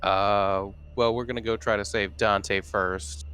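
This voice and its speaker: A man's voice: lowish voice, steady tone, measured pace, pushed and bright, smooth. A man talking casually and slangily as a player in an online tabletop game. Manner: informal, slangy, mild swearing. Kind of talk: casual game chat over video call. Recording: video call, music behind the voice.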